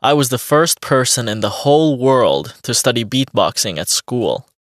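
A man's voice: medium pitch, sing-song, moderate pace, dry recording, studio quality, close to an everyday voice. A man playing a friendly young beatboxer, answering interview questions in a plain, evenly paced way for a textbook dialogue. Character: friendly young male beatboxer on a radio show.

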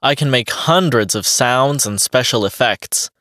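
A man's voice: medium pitch, lively intonation, unhurried, fairly bright tone, no room sound, studio quality. A man playing a friendly young beatboxer, answering interview questions in a plain, evenly paced way for a textbook dialogue. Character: friendly young male beatboxer on a radio show.

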